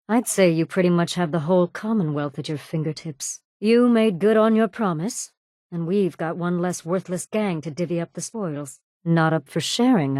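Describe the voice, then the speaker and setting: A woman's voice: lowish voice, darkish tone, no room sound, clean studio sound, close to an everyday voice. A woman voicing a ruthless raider gang leader in a video game, sardonic, commanding and menacing. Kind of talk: video game character dialogue.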